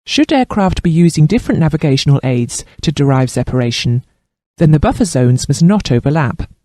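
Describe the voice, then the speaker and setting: A man narrates a training course in a fairly high voice with a soft, mellow tone. His delivery is formal and precise, with plenty of melodic movement as he reads the scripted instruction. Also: big pitch swings, brisk, no echo.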